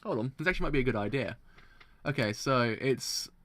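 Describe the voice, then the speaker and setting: A man streaming a live read-through of comics, stumbling over words, restarting lines, and breaking into excited laughter, his throat sounding strained. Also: medium pitch, fairly quick. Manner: excitable, stumbles and restarts while reading aloud, bursts into laughter. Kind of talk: live reading and reaction.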